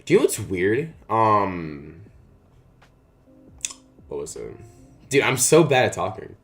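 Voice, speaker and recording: A young man streaming a card game, chatting casually with a raspy morning voice and lots of "uh" and "like" fillers. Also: lowish voice, sing-song, slow pace, soft background music. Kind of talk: casual live-stream chat.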